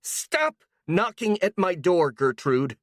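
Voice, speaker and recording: A storyteller voicing a hot-tempered young woman who shouts and sneers with sarcasm. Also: deep voice, sing-song, normal speed, no room sound, studio quality, voice pushed up. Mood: raging.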